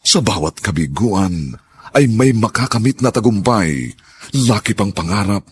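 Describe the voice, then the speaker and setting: A man's voice: on the low side, lively intonation, measured pace, a little hiss or hum. A man delivering a grand, solemn, poetic introduction to a radio drama in a scripted narration. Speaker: program narrator.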